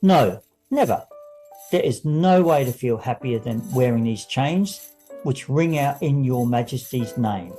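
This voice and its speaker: A man's voice: mid-range voice, expressive, unhurried, dark tone, soft background music, close to an everyday voice, rough recording. A man voicing a servile male attendant in an audio story, deferential, earnest and obsequious. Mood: fawning.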